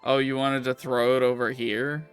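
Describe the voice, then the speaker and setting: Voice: medium pitch, flat delivery, normal speed, smooth, no echo. A game master talking casually in a matter-of-fact, teasing way during a tabletop roleplaying session. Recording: faint music under the talk. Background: background music.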